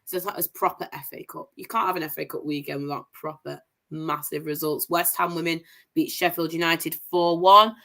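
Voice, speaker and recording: A woman's voice: low voice, fairly even intonation, a little fast, vocal fry, a bit bright. Chatty, posh-sounding woman host. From Manchester, England. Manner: upbeat, chatty, reels off scores with enthusiasm. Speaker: host of a women's football review show. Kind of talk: casual livestream results roundup.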